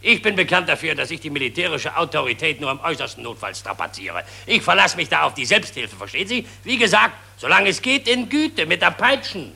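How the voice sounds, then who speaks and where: An actor playing a cynical factory owner in a radio play, ironic and sneering. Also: male voice, on the high side, expressive, brisk, gravelly, few pauses, sharp tone, slight background noise, full character voice.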